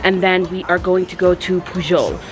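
A woman's voice: lowish voice, normal speed. A woman in her thirties, a travel vlogger, chatting casually and upbeat to a handheld camera, with the odd "um". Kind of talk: casual vlog talk to camera. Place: indoors at a tiled restaurant table and a hotel room.

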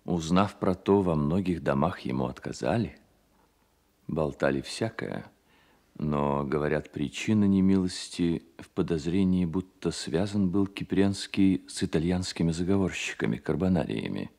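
An actor playing an earnest, loyal young baron poet, speaking in a measured Soviet radio drama style. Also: male voice, sits low, darkish tone. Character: earnest, loyal baron poet Delvig, man in his twenties.

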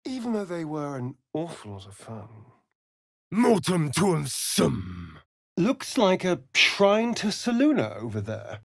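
An actor with a British accent playing a vain, flirtatious, sardonic vampire rogue in a fantasy video game, arch and theatrical in delivery. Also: male voice, fairly high pitch, huge pitch swings, slow, gravelly, dry recording, clean studio sound, exaggerated voice. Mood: teasing, low, then wry, guarded. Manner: arch, drawling, theatrical, mocking.

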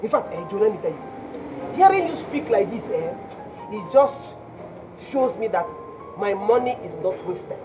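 A woman's voice: mid-range voice, very animated, a little slow, pauses often, dark tone, faint music behind. An actress with a Nigerian accent playing a hot-tempered, theatrical jilted girlfriend in a phone-call drama. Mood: amazed, adoring. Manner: theatrical, exclamatory, repetitive. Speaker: actor in a comic relationship drama.

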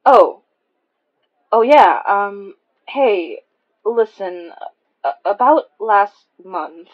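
Flustered young woman for podcast drama, played by a woman: hesitant, stammering, lively swooping pitch, slightly dull recording. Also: very slow. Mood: flustered, awkward. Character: awkward, flustered, easily startled young woman. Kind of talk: naturalistic audio drama dialogue.

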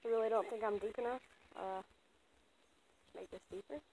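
A woman's voice: moderate pace, mellow, low-quality recording. A woman vlogging while fishing at a pond, talking casually and coaxing the fish. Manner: low-key muttering, coaxing the fish. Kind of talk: casual running commentary. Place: outdoors at a pond's edge. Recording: outdoor noise with animal sounds.

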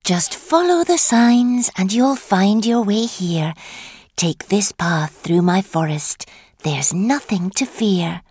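An actress playing a sly old witch in a storybook, luring and sweetly menacing in a breathy, hushed voice. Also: medium pitch, expressive, slow, no room sound. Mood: sweetly coaxing. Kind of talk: storybook verse performance.

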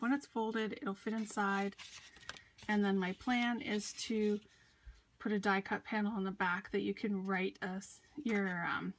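A woman's voice: medium pitch, a little hiss or hum. A congested woman showing her handmade cards at her craft desk, chatty with fillers and small laughs. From United States. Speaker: card-making crafter. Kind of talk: casual craft show-and-tell.

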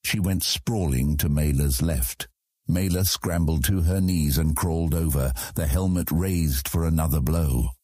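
Man, audiobook narrator, low-pitched, husky, scripted fantasy storytelling, dry recording. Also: unhurried, warm tone, dry recording.

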